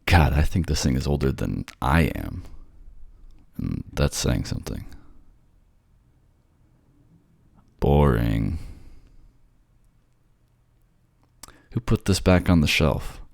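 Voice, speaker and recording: A man's voice: rumbling low, sing-song, unhurried, vocal fry, darkish tone, dry recording, clean studio sound, exaggerated voice. A man playing a bored, sardonic ghost who haunts a library, snarky and conversational in an ASMR audio roleplay. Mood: bored grumbling. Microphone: close, dry microphone.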